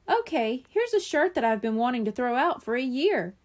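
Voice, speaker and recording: A kind, easygoing storybook mother, played by a woman narrator. Her voice sits high and moves with lively expression. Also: normal speed. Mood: helpful, playful. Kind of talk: children's audiobook character dialogue.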